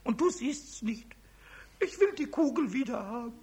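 A man voicing a cheeky, stubborn little kobold in a children's radio play, squeaky and raspy, with a whiny, insistent manner. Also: animated, normal speed, a little mellow, full character voice. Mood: whining, sad.

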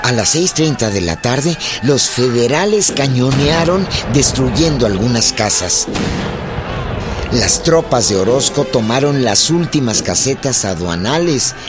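Male narrator for a historical radio play, mid-range voice, unhurried, over loud music. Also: mid-range voice, lively intonation. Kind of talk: scripted dramatic narration. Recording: loud music and effects behind.

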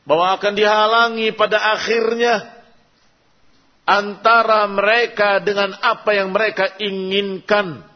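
A somewhat high-pitched man with an Indonesian accent delivers an Islamic sermon. He is didactic, setting out scripture and its explanation in short, measured phrases. The recording is a little muffled and lo-fi. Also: level tone. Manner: didactic, reciting quotations then translating and repeating in short measured phrases. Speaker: Islamic preacher. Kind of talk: sermon explaining an Arabic religious text line by line. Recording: dull, lo-fi sound.

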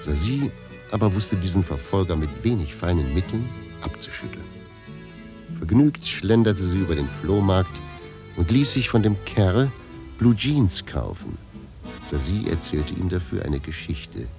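A man's voice: deep voice, animated, a little slow, music in the background. A man narrating a scripted story as the narrator of a radio play.

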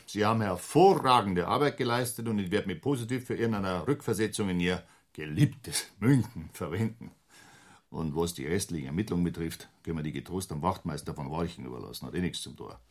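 An actor playing a brusque, sarcastic police superior with a Bavarian accent in a German radio crime drama. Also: male voice, deep voice, fairly quick. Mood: dismissive, commanding. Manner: brusque, condescending, commanding.

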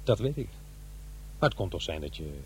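A man's voice: lowish voice, big pitch swings, normal speed, slight background noise. An actor playing an awkward, defensive divorced father in middle age in a radio play, with a hesitant delivery. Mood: awkward, wistful. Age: middle-aged. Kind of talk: radio play acting, naturalistic dialogue and narration.

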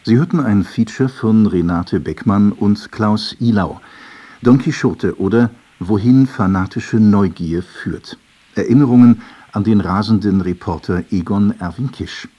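A man's voice: on the low side, unhurried, warm tone, breathy edge, lo-fi sound. A man announcing radio credits in a formal, scripted style. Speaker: closing announcer.

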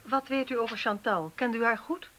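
A woman playing a brisk, inquisitive and sympathetic police detective in a naturalistic radio drama. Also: a little high, noisy background, close to an everyday voice. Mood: businesslike, probing. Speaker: actor in a radio play.

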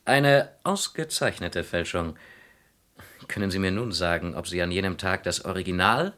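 A detective-like insurance investigator in a radio crime drama. His voice sits fairly low, and he questions politely, deliberately and persistently. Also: lively intonation, fairly bright tone. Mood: courteous, probing. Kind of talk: German radio crime play acting.

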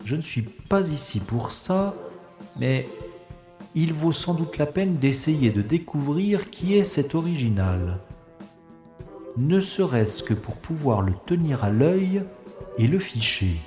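A man plays a self-assured, wry secret agent in a sci-fi audio drama. He speaks slowly and formally in a musing, measured tone. His mid-pitched voice has a strong echo around it, over faint music. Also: sing-song, dark tone. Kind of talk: science-fiction audio drama, inner monologue and dialogue.

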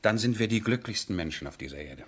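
An actor playing a passionate, headstrong nobleman in love, husky and theatrical, in a 1970s German radio drama. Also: lowish voice, expressive, fast. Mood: tender, confiding. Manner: impassioned, theatrical, swinging from hushed to ringing.

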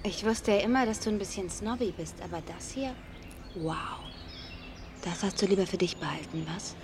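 A woman's voice: mid-range voice, moderate pace, noisy background, natural voice. An actress playing a teasing, bold young woman in a radio drama, coaxing and playful.

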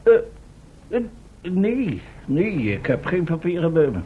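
An actor playing a bossy, easily indignant, eccentric professor in a Dutch radio play. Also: male voice, a little high, very animated, unhurried. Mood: earnest explaining. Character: irritable, eccentric male professor, a time traveller. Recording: muffled old radio recording, faint noise.

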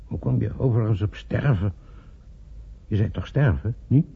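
An actor playing a dry, ironic husband of nearly seventy in a radio play, his voice husky and creaky. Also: on the low side, moderate pace, full character voice. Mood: irritated, nervous. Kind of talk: radio drama acting. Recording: narrow, phone-like old radio recording.

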